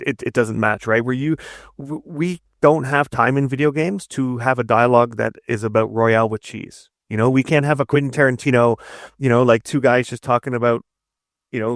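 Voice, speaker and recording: A man's voice: medium pitch, a bit bright, no room sound, clean studio sound. A man giving conversational interview advice as a game writer, explaining emphatically and often checking with "right?". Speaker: guest narrative designer and game writer.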